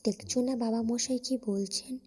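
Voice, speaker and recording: A woman's voice: fairly high pitch, fairly even intonation, moderate pace, mellow, slightly rough, big-room sound. A woman playing a scheming, fretful and gossipy village daughter-in-law in a cartoon family drama.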